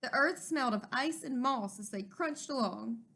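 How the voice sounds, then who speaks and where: High, expressive woman reading a storybook. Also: moderate pace, vocal fry. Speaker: teacher reading aloud. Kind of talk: expressive storybook narration for children.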